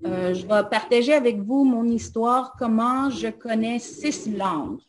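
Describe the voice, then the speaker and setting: Slow, halting woman. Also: a little high, expressive, slight background noise. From Montreal, Canada. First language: English. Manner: hesitant, many "euh" fillers, switches into English. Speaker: invited guest speaker. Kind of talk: casual personal talk.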